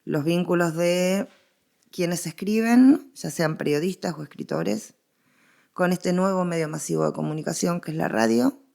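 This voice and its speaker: Argentine woman, low-pitched creaky expert voice. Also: normal speed, mellow. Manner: explanatory, emphatic repetition and examples. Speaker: interviewed researcher of radio history. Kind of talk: conversational expert interview.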